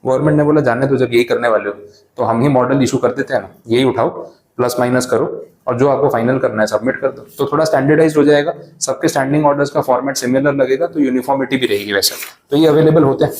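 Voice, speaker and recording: An Indian man teaches a class in a roomy, echoing space. His pitch sits in the middle range. He chats through his points with everyday asides, then slows right down to read legal wording. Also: steady tone, darkish tone, slight background noise. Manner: chatty, explains with everyday asides, reads legal text slowly. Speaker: lecturer. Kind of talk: classroom lecture, Hindi-English mix. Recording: echoey classroom.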